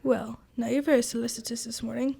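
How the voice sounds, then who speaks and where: A woman playing an amiable, chatty gentleman colleague of a detective in an amateur radio drama. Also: a little high, steady tone, fast talker, gravelly, husky, full character voice. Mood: curious, engaged. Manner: chatty, eager, exclaiming.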